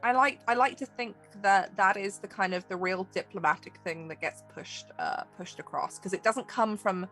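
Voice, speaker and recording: A woman's voice: medium pitch, normal speed, hardly any pauses, fairly bright tone, soft background music. Woman game master thinking aloud. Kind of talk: improvised tabletop game narration.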